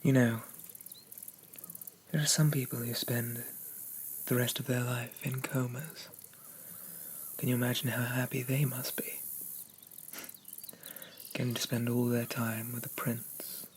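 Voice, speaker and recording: A man playing a possessive, haughty demon prince of dreams in an ASMR roleplay, speaking softly and breathily with a coaxing, condescending, regal manner and lingering pauses. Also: mid-range voice, flat delivery, lightly acted. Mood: coaxing, seductive. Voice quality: soft, breathy, often whispered. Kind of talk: ASMR roleplay, soft half-whispered dramatic monologue. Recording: noticeable rain-like background noise.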